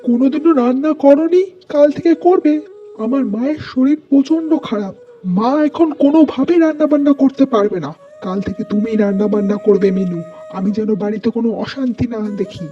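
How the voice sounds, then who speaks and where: A man's voice: extremely high pitch, a little fast, lo-fi sound, soft background music. A man playing a protective, stern grown son and husband in a cartoon family drama, firm and commanding. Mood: stern, angry. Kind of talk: animated family melodrama acting.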